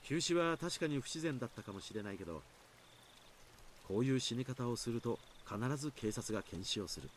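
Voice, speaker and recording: A man's voice: mid-range voice, animated, racing, slight background noise, close to an everyday voice. An actor playing an easygoing man in a visual novel, explaining things in a reassuring, long-winded way. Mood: calm, dismissive. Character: easygoing adult man calmly explaining away village curse rumours. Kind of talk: Japanese visual novel voice acting.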